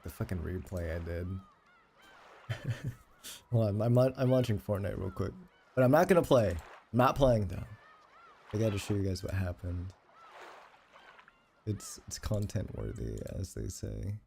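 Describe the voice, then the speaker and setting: Male streamer, late 20s, low-key dark tone with vocal fry, loose sweary rambling, slight noise behind. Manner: rambling, profane, self-deprecating. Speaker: game livestreamer. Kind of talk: casual livestream chat.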